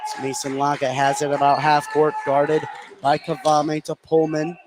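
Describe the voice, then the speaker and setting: High-pitched man calling live basketball. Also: normal speed. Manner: excited shouts on big plays. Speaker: play-by-play announcer. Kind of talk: live basketball radio commentary. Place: indoor school basketball gym, live courtside. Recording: noisy live gym broadcast.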